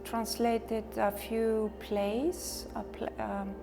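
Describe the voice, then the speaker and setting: A woman's voice: a little high, level tone, drawn-out words, creaky, long reverb tail, over music. A middle-aged Icelandic woman with an Icelandic accent, speaking reflectively in an interview and often restarting her words. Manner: restarts, repeats words while thinking. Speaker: novelist, interview guest.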